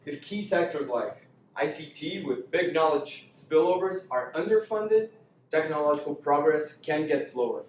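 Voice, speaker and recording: A man's voice: on the high side, expressive, moderate pace, a little hiss or hum, natural voice. A young man playing an economist colleague who explains with some fillers, recorded from far off in a very echoey room. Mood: explaining.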